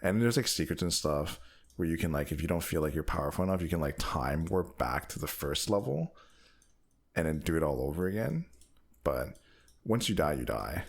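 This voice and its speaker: A man's voice: rumbling low, big pitch swings, normal speed, gravelly. A man co-hosting a gaming podcast, chatting casually and informally. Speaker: podcast co-host.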